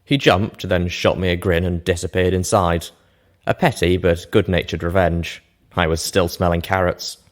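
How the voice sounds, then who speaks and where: A man narrating a first-person fantasy story from a script, measured and expressive. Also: sits low. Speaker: narrator of a fan fiction reading show.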